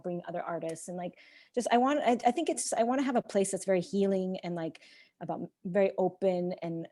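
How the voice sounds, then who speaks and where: A young woman whose first language is Czech, with a somewhat low voice. She talks in long, rambling runs full of "like" and laughs often. Her chat as a podcast guest stays loose and unscripted. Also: dark tone. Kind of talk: casual interview chat.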